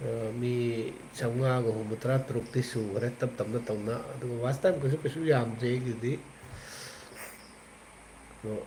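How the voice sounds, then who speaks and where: A man's voice: medium pitch, fairly even intonation, very fast, pauses often, mellow, a little hiss or hum, poor audio. A man from northeast India with a Manipuri accent, chatting casually and rambling to his viewers on a live stream.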